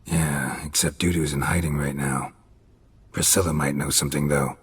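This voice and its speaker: An actor playing a stoic, weary monster hunter in a video game, terse and deadpan, with a gravelly voice. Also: male voice, moderate pace, full character voice. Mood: dry, calm. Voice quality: gravelly low voice.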